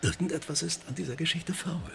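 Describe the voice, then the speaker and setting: An actor playing a suspicious police commissioner, questioning in a dry, measured way in a radio drama. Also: male voice, fairly high pitch, gravelly, very noisy.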